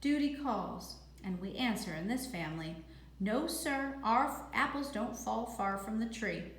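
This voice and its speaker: Woman voicing a gruff, curt old grandfather, echoey room. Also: sits high, lively intonation, measured pace, fairly bright tone, heavily acted. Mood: stern. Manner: clipped, blunt, matter-of-fact. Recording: echoey room with faint noise.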